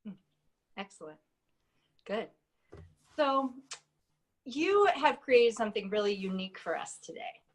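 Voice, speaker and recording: A woman's voice: fairly high pitch, animated, a little slow, pauses often. Gracious woman hosting an online interview. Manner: gracious, gently encouraging. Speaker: summit host, interviewer. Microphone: video call.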